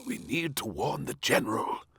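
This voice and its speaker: Man doing an excitable high-voiced prime minister. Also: normal speed, vocal fry, breathy edge, no room sound, studio quality, soft background music, full character voice. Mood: urgent. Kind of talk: children's storybook character reading.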